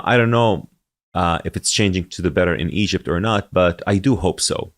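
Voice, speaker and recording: Deep-voiced man, hesitant. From Saudi Arabia. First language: Arabic. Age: 40s. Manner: hesitant, many fillers and restarts, laughs easily. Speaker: podcast guest. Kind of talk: conversational interview.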